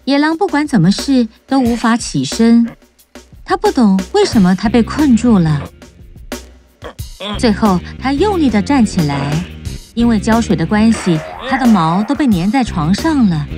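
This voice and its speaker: A woman's voice: on the high side, sing-song, normal speed, darkish tone. A woman storyteller reading a scripted children's tale as narrator. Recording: music bed under narration. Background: music.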